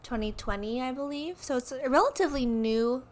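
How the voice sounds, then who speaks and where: A young woman co-hosting a podcast in casual chat, a little hoarse from a cough, bubbly and full of fillers. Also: high voice, animated, brisk. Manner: bubbly, lots of "like" and "yeah". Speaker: podcast co-host.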